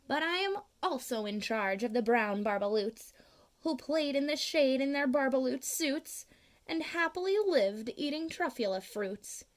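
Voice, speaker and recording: A woman voicing a grumpy old forest creature from a storybook, croaky, scolding and pleading. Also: sits high, expressive, measured pace. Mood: mournful pleading. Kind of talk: storybook read-aloud.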